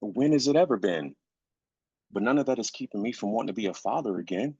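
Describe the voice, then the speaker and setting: A man's voice: lowish voice, expressive, fast talker, darkish tone, husky, no echo. A man playing a weary, grieving husband and father in a screenplay reading, insistent yet supportive. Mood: insistent, frustrated. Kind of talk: live staged screenplay reading. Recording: dull, lo-fi sound.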